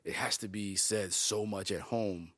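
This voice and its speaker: A man in his thirties hosts a casual interview in a low, deep voice. He asks questions and muses aloud at an easy pace, often tagging on "right?". The recording is close and dry. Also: a little slow, no room sound. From United States. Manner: relaxed, reflective questioning with "right?" tags. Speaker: interview host. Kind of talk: conversational interview.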